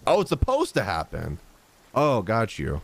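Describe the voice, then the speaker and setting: A man in his thirties reacting casually to a game on a live stream, excitable and slangy. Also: lowish voice, unhurried, gravelly, a little hiss or hum. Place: indoors, small streaming room. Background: game music and sounds.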